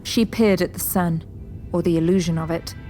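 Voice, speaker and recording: A woman's voice: on the low side, fairly even intonation, normal speed, mellow. A woman giving scripted dramatic narration for an audio drama story. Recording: prominent music bed behind.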